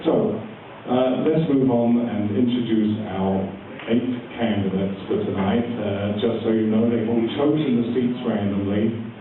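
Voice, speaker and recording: A man's voice: on the low side, steady tone, a little slow. Man emceeing in an echoey hall. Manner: joking, chatty, frequent ums and uhs. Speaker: forum moderator. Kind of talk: live event emcee address. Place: community hall with a large audience. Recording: far-off, echoey, phone-like and noisy room recording.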